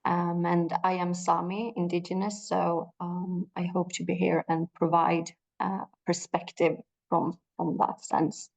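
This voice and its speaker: Low, creaky young woman. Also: flat delivery, a little slow, hardly any pauses, poor audio. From northern Sweden. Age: young adult. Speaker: panelist, PhD researcher. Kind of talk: self-introduction at an online panel. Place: small indoor room, video call.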